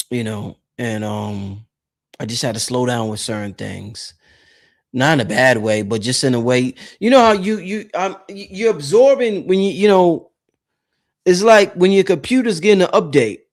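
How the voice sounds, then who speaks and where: A man in his late thirties talking casually to his viewers about spiritual matters, rambling and repeating phrases for emphasis. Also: fairly high pitch. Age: late 30s. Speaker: host of a spirituality channel.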